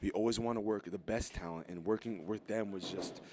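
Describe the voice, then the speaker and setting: Male wrestler, sincere interviewee, lower register, easygoing. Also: brisk, noisy background. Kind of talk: casual interview.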